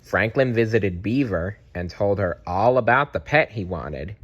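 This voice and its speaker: A man narrating a children's storybook aloud in an expressive, deliberate way. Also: on the low side.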